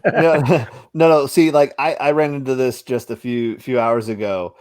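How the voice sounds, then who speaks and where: A man's voice: medium pitch, fairly even intonation, moderate pace, darkish tone. A man with a mixed Northern Californian and East Tennessee accent, co-hosting a casual podcast and joking and laughing a lot. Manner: jokey, laughs often.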